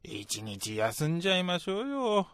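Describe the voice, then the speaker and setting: A man's voice: high voice, wildly swooping pitch, unhurried, fairly bright tone, smooth, dry recording, clean studio sound, heavily acted. A young man acting an earnest, polite junior salesman who speaks deferentially in a radio drama. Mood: concerned, probing. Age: young adult. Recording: prominent music behind the voice.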